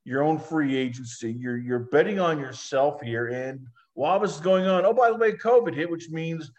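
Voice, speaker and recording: A man's voice: fairly high pitch, normal speed, dull audio. A man interviewing a boxer, asking long rambling questions padded with fillers. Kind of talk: sports interview.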